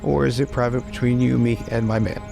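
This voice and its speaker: A man's voice: medium pitch, steady tone, fairly quick, vocal fry. A man playing a tabletop roleplaying game, improvising casually and thinking aloud in a tentative way. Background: background music.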